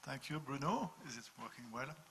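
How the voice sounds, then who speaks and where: A man's voice: mid-range voice, expressive, a little fast, pushed and bright, slight background noise. A man moderating a business panel discussion, speaking hesitantly with many fillers and restarts. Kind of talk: moderating an open workshop discussion.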